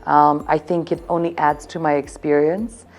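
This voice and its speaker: Brief: thirties woman, hotel events rep, mellow low voice, upbeat sales pitch, faint music behind. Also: level tone, moderate pace. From Zambia. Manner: upbeat, selling, keeps circling back to one theme. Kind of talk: promotional interview answers.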